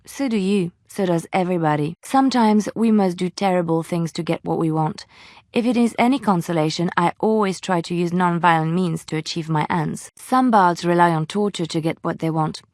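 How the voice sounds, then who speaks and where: An actress voicing a young female bard and former spy in a video game, soft-hearted but cunning, with a French accent and measured, earnest, formal phrasing. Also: on the low side, no echo, studio quality. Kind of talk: dramatic game dialogue.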